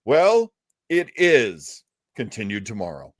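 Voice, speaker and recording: A man voicing melodramatic, gossipy comic-strip characters in a mock-serious, drawn-out manner. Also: on the low side, huge pitch swings, voice pushed down, heavily acted. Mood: mock suspense.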